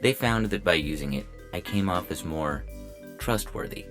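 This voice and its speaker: A man voicing a polite, officious robot lawman with a deadpan, clipped delivery in a science fiction audio story. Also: sits low, normal speed. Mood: politely detached. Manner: deadpan, clipped, matter-of-fact. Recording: music bed under the voice.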